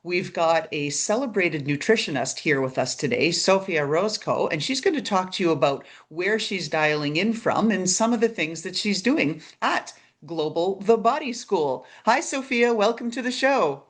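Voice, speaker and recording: A woman's voice: fairly low pitch, animated, moderate pace, hardly any pauses. A woman hosting a podcast in a conversational, upbeat and encouraging way. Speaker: podcast host.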